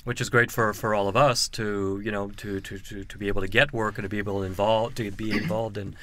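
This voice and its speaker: A man hosting a podcast interview, asking questions quickly with stumbling restarts. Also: fairly low pitch, level tone, sharp tone. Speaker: podcast host.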